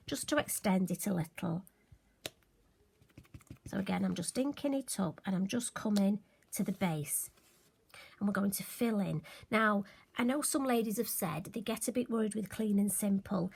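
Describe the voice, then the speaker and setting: A friendly woman talks her viewers through a card-making demonstration in a casual, self-deprecating way. Her voice is slightly hoarse and occasionally croaky, sitting at an ordinary middle pitch. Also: a little mellow. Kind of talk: casual crafting tutorial.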